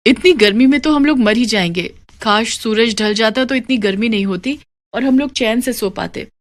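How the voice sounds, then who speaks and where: A woman voicing a practical village farmer's wife in an animated moral story, respectful but gently protesting. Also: fairly high pitch, moderate pace, dry recording, voice pushed up, lightly acted. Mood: miserable.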